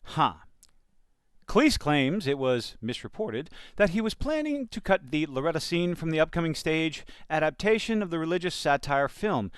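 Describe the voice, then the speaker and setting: A man's voice: on the high side, very animated, normal speed, smooth, no echo, studio quality. A man giving opinionated commentary in a blunt, sardonic and emphatic manner. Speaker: commentator reacting to entertainment news. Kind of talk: opinionated commentary with quotes read out.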